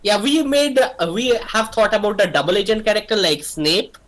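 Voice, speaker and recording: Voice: medium pitch, expressive, moderate pace, fairly bright tone. Explanatory game writer in casual group chat. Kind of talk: casual creative brainstorming discussion.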